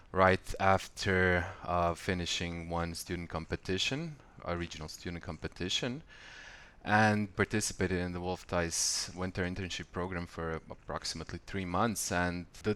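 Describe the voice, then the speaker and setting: A man in his thirties from Croatia with a Croatian accent, speaking in a measured, formal way as a podcast guest. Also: sits low, fairly bright tone, clear, resonant tone. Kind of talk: casual conversation.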